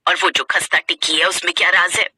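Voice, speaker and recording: A woman's voice: on the low side, level tone, fast, bright, forceful tone, slightly rough, dry recording, voice pushed down, heavily acted. A woman voicing a skeptical, exclamatory older mother-in-law in a Hindi cartoon family story, with a grumbling colloquial manner. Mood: curious. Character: stern, skeptical older mother-in-law who softens into pride.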